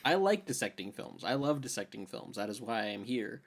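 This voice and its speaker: Male podcast co-host, young adult, mid-pitched voice, casual and joking, with a playfully dramatic streak. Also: sing-song, moderate pace. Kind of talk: casual banter about films.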